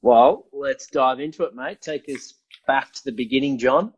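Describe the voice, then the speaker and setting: A man's voice: medium pitch, moderate pace, lo-fi sound. A man hosting a podcast, reading an introduction with a few stumbles and chatting casually with his guest over a video call. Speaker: podcast host.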